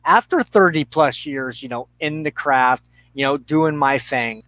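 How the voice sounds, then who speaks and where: A middle-aged man in a reflective conversation, speaking haltingly and thoughtfully with pauses mid-sentence. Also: a little high, bright, forceful tone, no room sound.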